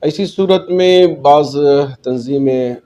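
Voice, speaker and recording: Male cleric, dark soft-edged tone, measured formal delivery, public appeal. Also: fairly high pitch, highs cut off. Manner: measured, formal, Arabic-laced religious Urdu phrasing. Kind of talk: spoken public statement to community.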